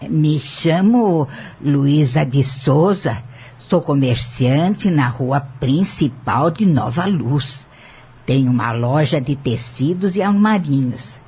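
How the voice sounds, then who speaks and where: Man playing a slow, creaky-voiced elderly woman witness. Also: fairly high pitch, lively intonation, warm tone, heavily acted. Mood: composed. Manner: measured, formal, deliberate testimony. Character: elderly upright woman shopkeeper, firm courtroom witness. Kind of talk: audio novel acting. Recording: dull lo-fi audio with music bed. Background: music.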